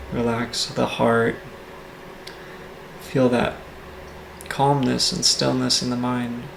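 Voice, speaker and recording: Guy doing asmr guided meditation, really gravelly fry, slow and soft, echoey room. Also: medium pitch, slight background noise. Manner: soft-spoken, breathy near-whisper at times, calm and soothing.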